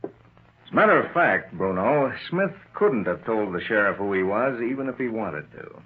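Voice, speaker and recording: A thin, narrow old radio recording carries a low, husky lawman. He is shrewd and sure of himself, speaking with deliberate, assertive pressure in classic crime drama style. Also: sing-song. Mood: coolly triumphant. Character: shrewd, confident male government lawman pressing a crook.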